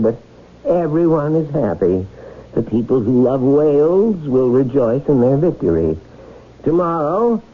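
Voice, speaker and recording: A breezy inventor hero in a vintage radio play. His husky, animated voice explains things genially and courteously, through a thin phone-like recording. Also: medium pitch. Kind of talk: 1970s radio drama acting.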